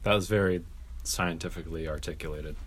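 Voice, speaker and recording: Laid-back young podcast co-host, low voice, easygoing. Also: male voice, fairly even intonation, brisk, slight background noise. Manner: laid-back, reads out search results. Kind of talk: casual chat.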